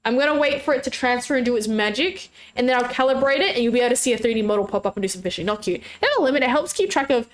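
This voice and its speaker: A woman's voice: fairly high pitch, lively intonation, racing, few pauses. A woman streaming a fishing game, chatting casually in a bubbly way, with a slight sore throat. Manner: bubbly, chatty, frequent squeals and laughs. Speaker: VTuber streamer. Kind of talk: casual live-stream chat.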